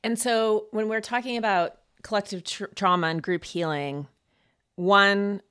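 A woman's voice: medium pitch, steady tone, measured pace, a bit bright, clean tone. A woman interviewing in a conversational way, rephrasing tentatively as she works toward her question.

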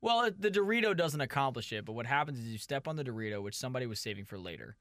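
A man's voice: mid-range voice, lively intonation, rapid-fire, fairly bright tone, no room sound, clean studio sound. A man co-hosting a podcast, casual comedic chat with silly improvised bits. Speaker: podcast co-host.

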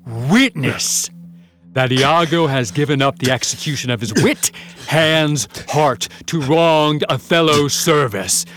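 An actor playing a scheming soldier who feigns loyalty, with a measured, insinuating delivery of classical verse in a radio play. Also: male voice, a little high, fairly bright tone. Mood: solemn vowing.

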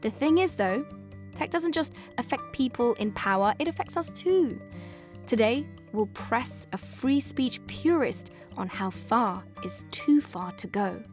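A British woman narrating a scripted podcast as host, in an expressive, conversational way that speaks straight to listeners. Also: on the high side, unhurried, soft background music. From born in Afghanistan, moved to the UK.

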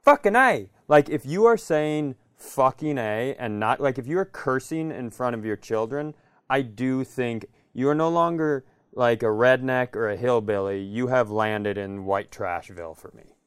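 An American man hosting a comedy podcast, telling sweary, animated anecdotes in a casual style. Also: mid-range voice, unhurried, smooth, dry recording. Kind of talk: casual comic storytelling.

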